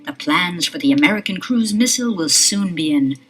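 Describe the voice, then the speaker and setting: A woman's voice: fairly low pitch, expressive, a little fast, over loud music, close to an everyday voice. A woman playing a scheming spy in a 1970s record-album drama, speaking stiffly and formally without contractions. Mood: cold, commanding.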